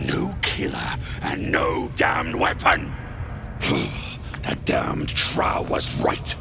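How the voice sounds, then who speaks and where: An actor playing a brutish, irritable and zealous goblin warlord in a video game, with a gruff, gravelly growl. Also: male voice, rumbling low, exaggerated voice. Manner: rough, growling, colloquial. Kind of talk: video game character acting.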